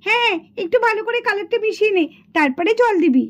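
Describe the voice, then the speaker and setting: A woman voicing a bossy, chatty, excitable schoolgirl doll in a children's puppet cartoon. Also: very high-pitched, fast. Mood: excited. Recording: dull lo-fi sound.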